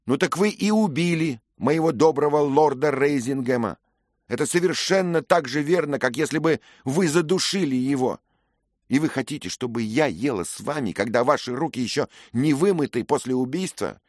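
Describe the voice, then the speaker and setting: A man's voice: medium pitch, animated, normal speed, no room sound, clean studio sound, voice pushed up, close to an everyday voice. A male reader voicing a proud young noblewoman who angrily accuses a young knight. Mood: scornful, furious. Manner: scornful, accusing. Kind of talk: audiobook character reading.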